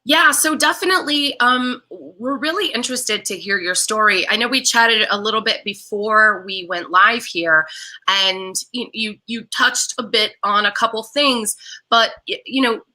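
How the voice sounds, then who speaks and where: A woman's voice: fairly high pitch, unhurried, bright, forceful tone. A woman hosting an online talk show, giving upbeat, welcoming introductions to a co-host and a guest.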